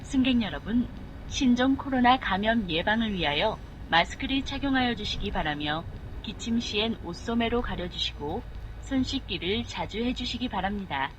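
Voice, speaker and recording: Woman announcer, mid-pitched, polite formal scripted notice, noisy bus interior recording. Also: muffled recording. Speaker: public safety announcer. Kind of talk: scripted public health announcement. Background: vehicle noise.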